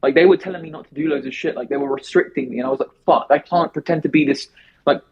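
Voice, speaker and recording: A man talking fast and rambling in a casual remote interview, with many restarts. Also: a little high, highs cut off, a little hiss or hum. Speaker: interview guest.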